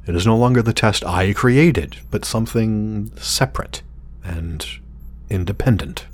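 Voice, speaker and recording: A man's voice: fairly low pitch, measured pace. An actor playing an irritable, obsessive scientist muttering hesitantly to himself and his drone in an audio drama. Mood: wary, stern. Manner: muttering, self-reassuring, hesitant, swearing.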